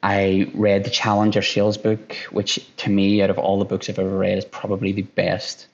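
A man in his thirties with an Irish accent, a sales director answering interview questions on a video call, talking fast and restarting often. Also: lowish voice, completely flat delivery, creaky. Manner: fast-talking, repeats himself, many restarts. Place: room at home by a window. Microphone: headphones on a video call. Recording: dull lo-fi call audio.

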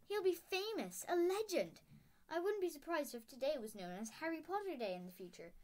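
A woman's voice: high voice, very animated, fairly quick, pitched above the performer's own voice. A woman voicing an upset, stern older woman professor in a read-aloud story, raising her voice in emphatic, tremulous outbursts. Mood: outraged.

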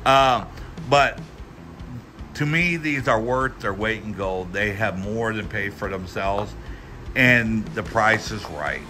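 A middle-aged American man giving a casual, folksy hands-on product review, talking in a halting, rambling way with repeated words. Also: on the low side, pushed and bright, soft background music. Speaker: tool review channel host. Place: indoors at a workbench.

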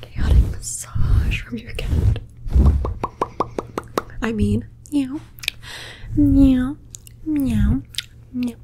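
A woman voicing a playful, doting pet cat in an ASMR roleplay, whispering softly and meowing between her words. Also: high voice, very slow, long pauses, very noisy. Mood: whispery, playful. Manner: soft whispering with repeated meows.

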